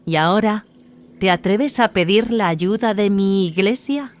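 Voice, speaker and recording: A woman's voice: medium pitch, unhurried, natural voice. A woman playing a stern, righteous warrior nun in an audio drama, speaking in a firm, questioning way. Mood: indignant. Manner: firm, interrogating. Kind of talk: dramatised audio fiction dialogue in Spanish.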